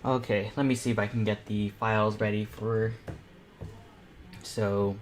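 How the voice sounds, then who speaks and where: A teenage male streamer chatting casually as host of an online painting panel, nervous and excitable, in a rambling way. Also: on the low side, unhurried, a little hiss or hum. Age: teens. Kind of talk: casual livestream chat. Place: indoors at a computer, live stream.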